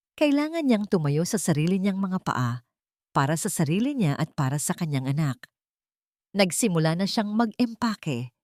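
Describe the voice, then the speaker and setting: A woman's voice: lowish voice, darkish tone, no room sound, clean studio sound. A woman narrating a dramatic love story from a script, as a storyteller.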